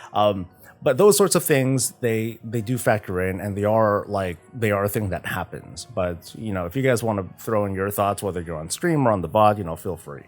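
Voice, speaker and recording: Low-voiced man, halting livestream chat. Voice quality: halting, stumbling over repeated words. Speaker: streamer, host of a morning show. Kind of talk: casual livestream chat about games.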